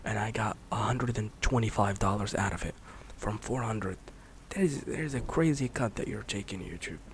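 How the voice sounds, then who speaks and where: A man in his late twenties, a streamer rambling in a soft-spoken, candid way, swearing casually and slipping into whispers. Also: on the low side, normal speed, a little hiss or hum. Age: 20s. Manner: candid, swears casually, many fillers and restarts; drifts between soft speech and whisper.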